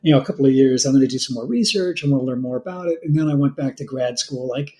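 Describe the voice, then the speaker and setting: A man's voice: mid-range voice, quick pace, darkish tone. A middle-aged man, a podcast guest, telling stories in a friendly way with self-deprecating humor. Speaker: guest, author and educator. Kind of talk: casual interview answers.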